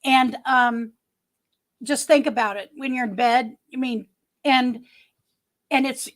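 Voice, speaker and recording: A woman's voice: sits high, steady tone, takes it slowly. A woman in her early sixties hosting a casual livestream from home, chatting and reading viewer comments in a rambling way with many restarts. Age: 60s. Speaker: livestream host.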